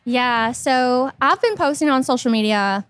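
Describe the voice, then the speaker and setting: A woman's voice: high-pitched, lively intonation, unhurried, fairly bright tone, clean tone. A young adult woman, a dentist guest on a podcast, speaking candidly and bubbly with lots of "like". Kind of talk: casual podcast interview.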